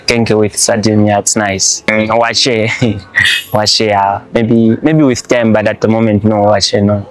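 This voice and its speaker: Young adult male, Zambian accent, lower pitch, very even delivery, casual student interviewee. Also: slow pace, low-quality recording.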